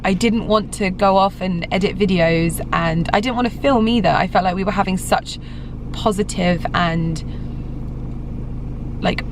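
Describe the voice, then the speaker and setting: A young English woman vlogger in a casual, rambling update, her voice slightly croaky after being ill. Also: mid-range voice, noisy background. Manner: chatty, rambling, frequent restarts and fillers. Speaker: lifestyle vlogger. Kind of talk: casual vlog update to camera.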